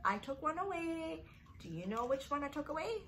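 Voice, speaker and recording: A woman's voice: sits high, sing-song, normal speed, fairly bright tone, a little hiss or hum. A woman hosting a guessing game for young children, talking in a sing-song, encouraging way as a storytime teacher.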